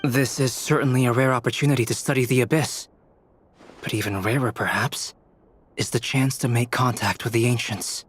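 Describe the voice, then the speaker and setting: A man playing a calm, thoughtful scholarly researcher in a video game, speaking precisely. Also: mid-range voice, moderate pace, slight background noise, natural voice.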